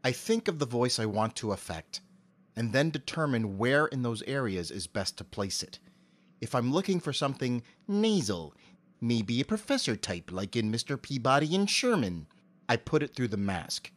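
A middle-aged man giving articulate, measured explanations as a voice actor being interviewed. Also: medium pitch, big pitch swings.

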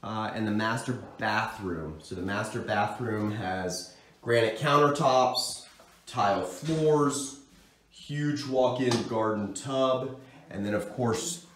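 Male real estate agent, walk-through tour narration, roomy echo, faint background noise, phone recording. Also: medium pitch. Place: indoors, hallway and rooms of an apartment. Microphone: phone held at arm's length. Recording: echoey rooms with background noise.

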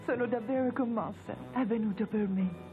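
A woman's voice: a little high, normal speed, close to an everyday voice. An actress playing a big, good-natured young foreign woman athlete in a dubbed anime, speaking sincerely. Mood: moved, resolute. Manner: sincere, drops in English phrases. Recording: narrow tinny sound, music behind.

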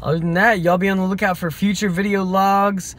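A man's voice: high-pitched, flat delivery, brisk, fairly bright tone. A man in his twenties talking casually to camera like a gym trainer, in an upbeat, slangy, folksy way. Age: 20s. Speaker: gym owner and personal trainer. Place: inside a car. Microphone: phone at arm's length. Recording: noisy car interior with engine and road hum.

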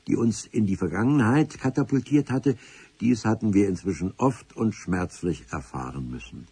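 Casting: solemn civil-servant chronicler for radio drama. Husky, mellow tone, formal wordy narration with dramatic pauses. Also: male voice, mid-range voice, no echo, heavily acted. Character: pedantic, solemn senior civil servant recounting adventures. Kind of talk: scripted radio play narration.

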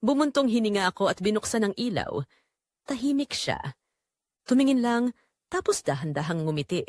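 A woman's voice: mid-range voice, lively intonation, normal speed, a little mellow, dry recording, studio quality. A woman narrating a first-person romantic drama in an intimate, dramatic way. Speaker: audio story narrator.

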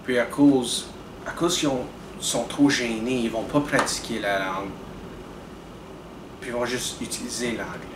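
A young man, talking earnestly to camera in a measured, deliberate way with frequent restarts. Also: mid-range voice, steady tone. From Canada. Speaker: video blogger. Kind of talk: direct-to-camera commentary. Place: indoors in front of a dark curtain. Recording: roomy, distant with air-conditioning hum.

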